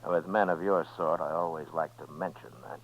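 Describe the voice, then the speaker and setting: Calm, laconic US marshal, very low voice, American, vintage radio western, dull old recording. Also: male voice. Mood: dryly cool. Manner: laconic, measured, understated. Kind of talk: 1950s radio western acting and narration.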